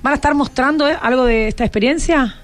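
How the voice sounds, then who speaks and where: A woman's voice: high voice, quick pace, soft background music. A woman with an Argentine accent, interviewing casually as a radio host in an encouraging, inquisitive way. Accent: Argentine, Rioplatense.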